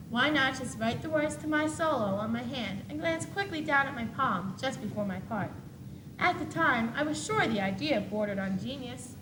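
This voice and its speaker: A woman's voice: fairly high pitch, lightly acted. A young teenage girl performing a candid schoolgirl's confession as a scripted stage monologue. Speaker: student actor. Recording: echoey noisy 1980s school TV tape.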